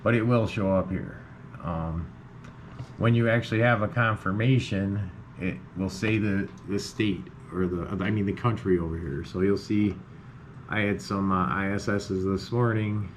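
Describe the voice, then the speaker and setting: A man with a low-pitched voice talks through an on-screen tutorial in an informal, step-by-step way. He sounds unscripted, and there is clear background noise in the recording. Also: fairly even intonation, a little slow. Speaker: amateur radio tutorial host. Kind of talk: unscripted screen-share software walkthrough.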